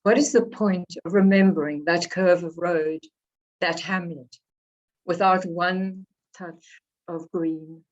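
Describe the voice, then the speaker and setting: A woman from Africa, slightly hoarse from a cold, reading her poems and introducing them in a reflective, unhurried way. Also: fairly low pitch, fairly even intonation. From South Africa. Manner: unhurried, reflective, pausing between phrases. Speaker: poet. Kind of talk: poetry reading with spoken introductions. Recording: dull lo-fi recording.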